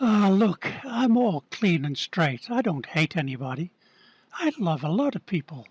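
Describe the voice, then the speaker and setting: A man's voice: high-pitched, sing-song, unhurried, slightly rough, no room sound, heavily acted. A man playing an earnest, desperate man pleading for his life in a staged play reading. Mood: pleading, indignant.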